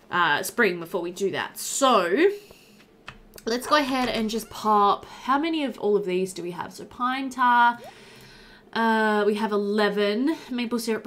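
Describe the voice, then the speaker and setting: A woman's voice: mid-range voice, a bit bright. Chatty woman, casual gameplay commentary, noisy background. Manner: chatty, thinks aloud, talks to game animals. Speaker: let's-play host.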